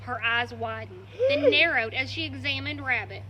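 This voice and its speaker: A woman's voice: high-pitched, normal speed, sharp tone, rough recording. A woman reading scripted narration as storyteller for a children's puppet show. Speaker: storyteller, library staff puppeteer. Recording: clear background noise.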